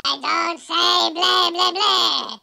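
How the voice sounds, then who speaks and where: A man voicing a squeaky, scratchy little chipmunk-like cartoon creature through a high-pitched voice-changer filter. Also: fairly even intonation, slow, gravelly, sharp tone, exaggerated voice. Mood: shrill, excitable.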